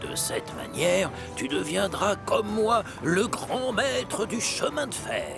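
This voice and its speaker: A man voicing a blustery, excitable talking locomotive in a children's cartoon, emphatic, theatrical and gravelly. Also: sits high, slow pace, full character voice. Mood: bossy. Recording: loud music over the voice.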